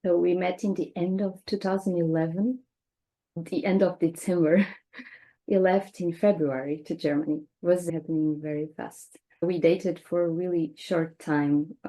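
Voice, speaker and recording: A woman in her thirties from Portugal with a Portuguese accent, a native Portuguese speaker talking calmly as a podcast guest in second-language English. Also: lowish voice. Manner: speaks second-language English with small slips, calm and reflective. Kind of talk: conversational interview.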